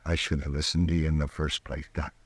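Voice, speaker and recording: A man's voice: rumbling low, level tone, fast talker, breathy edge, no echo. A man with a rural American accent acting a worried, angry, plainspoken rancher father in a Western radio drama, blunt and folksy. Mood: urgent, worried.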